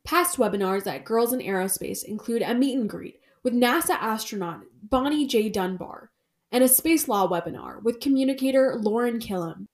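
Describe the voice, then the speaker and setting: A woman's voice: on the high side, animated. Upbeat woman podcast host. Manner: friendly, measured reading of the intro. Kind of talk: read introduction and interview.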